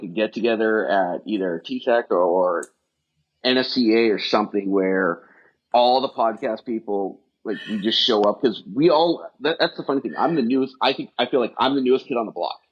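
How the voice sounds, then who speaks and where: A middle-aged man with a husky, slightly rough voice, talking fast in long rambling run-ons. He chats casually as a podcast guest. The audio is dull and lo-fi. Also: mid-range voice. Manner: rapid, rambling run-on talk. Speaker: guest, firefighter rehab specialist.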